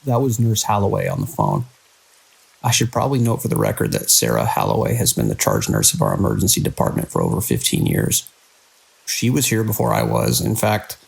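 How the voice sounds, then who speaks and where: A man playing a weary, dedicated hospital director in an audio drama, speaking in a measured, grave way. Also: on the low side, huge pitch swings, gravelly, a little mellow, husky, full character voice, rough recording. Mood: grave, concerned. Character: weary, dedicated male hospital director under mounting strain. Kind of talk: audio drama acting, recorded log entries and phone call.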